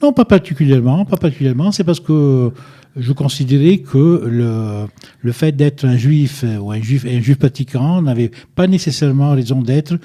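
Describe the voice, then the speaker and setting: Older guy from egypt, super dark low-toned voice, stumbles and repeats words but holds firm, studio mic. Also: animated, normal speed. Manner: hesitant, repeats words while searching, firm and insistent. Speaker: guest. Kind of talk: radio interview. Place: radio studio. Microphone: studio microphone, at times too far away.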